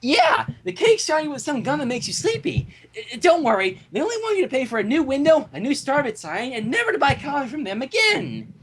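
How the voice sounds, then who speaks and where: A woman's voice: sits high, very animated, brisk, hardly any pauses, pitched above the performer's own voice. A woman voicing a hyperactive, cheerful young female cartoon pony with a bubbly, silly manner. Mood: joyful, chatty.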